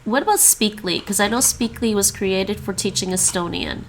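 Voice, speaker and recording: Gravelly-voiced woman studying languages aloud. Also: mid-range voice, moderate pace, a little hiss or hum, low-quality recording. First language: English and Chinese. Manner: thinks aloud, repeats foreign phrases. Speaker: streamer practicing languages. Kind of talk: casual chat while studying languages.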